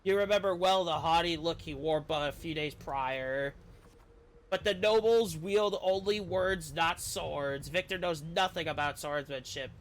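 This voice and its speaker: A man's voice: a little high, measured pace, slight background noise. A man streaming a game, reading the story text aloud with casual commentary and the odd stumble over words.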